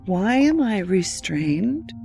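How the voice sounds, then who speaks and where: An actress playing a shaken but assertive federal agent held as a patient in an audio drama, speaking with halting urgency. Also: female voice, medium pitch, sing-song, gravelly, warm tone, soft background music, full character voice. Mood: dazed, confused. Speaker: actor.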